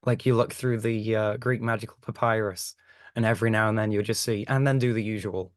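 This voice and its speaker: Man, croaky recovering voice, low pitch, dry close sound, wry podcast co-host. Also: fairly even intonation, fairly quick, no echo. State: croaky voice after a chest infection. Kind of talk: casual podcast chat.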